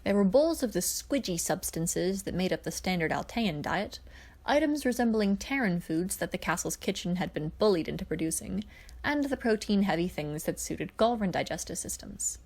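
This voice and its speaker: A woman's voice: lowish voice, expressive, normal speed, darkish tone. A woman reading scripted fiction aloud as the narrator. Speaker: podfic narrator.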